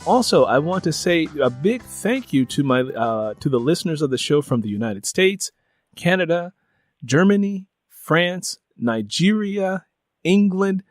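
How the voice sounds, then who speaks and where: A male podcast host with a fairly high-set voice leads an interview. He stretches phrases out for emphasis. The sound is close and dry. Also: no room sound.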